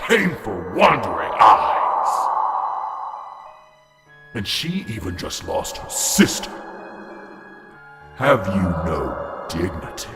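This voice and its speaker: An actor playing a cruel, taunting male voice inside a man's head, mocking in a slow hiss and breaking into shouts, recorded with echo and loud music. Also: lowish voice, very animated, full character voice. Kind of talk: amateur radio drama.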